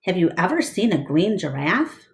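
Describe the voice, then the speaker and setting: A woman's voice: fairly low pitch, sing-song, normal speed. A woman voicing a bold, curious baby girl elephant in a storybook, full of eager questions.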